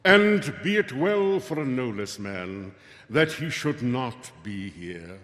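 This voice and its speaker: Scheming cult leader, grandiose ceremonial radio-play delivery, wide swoops, big echoing hall. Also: male voice, mid-range voice, very animated, slow pace, a bit bright. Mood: solemn, ceremonial. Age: middle-aged. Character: pompous, scheming male grand master of a secret cult. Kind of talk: live radio-theatre acting. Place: stage in a large hall. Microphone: stand microphone. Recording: echoey live stage hall.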